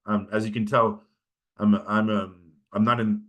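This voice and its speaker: A man's voice: fairly low pitch, flat delivery, unhurried, fairly bright tone. Conversational Californian man teaching. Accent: Californian American. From California, United States. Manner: conversational, often checks in with "right?". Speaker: instructor giving a workshop on pitching. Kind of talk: informal lecture.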